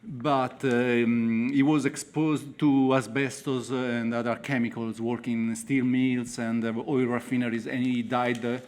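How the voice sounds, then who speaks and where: A man from Italy with an Italian accent, an author telling his own story at a festival in halting, broken English. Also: mid-range voice, level tone, a little mellow. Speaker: author on a panel. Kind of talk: personal account to a festival audience.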